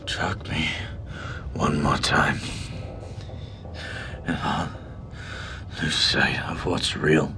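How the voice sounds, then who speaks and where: A man playing a desperate, defiant captive father in an audio drama, speaking in a strained, halting way. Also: a bass voice, fairly even intonation, heavily acted. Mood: weary, defiant. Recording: clear background noise and ambience.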